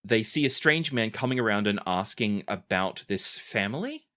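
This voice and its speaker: A man's voice: fairly low pitch, expressive, normal speed, a bit bright. A man speaking as game master, improvising narration for a tabletop game in a conversational, hesitant way.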